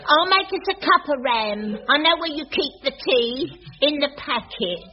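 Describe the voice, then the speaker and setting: An actress with a Cockney accent playing a chirpy, blunt, amorous cleaner in a radio sitcom. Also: female voice, high-pitched, expressive, measured pace. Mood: cheeky, chirpy. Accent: Cockney London. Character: brash amorous middle-aged Cockney cleaner. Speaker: sitcom character. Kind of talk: 1980s studio radio sitcom acting. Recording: dull old radio recording with studio audience laughter.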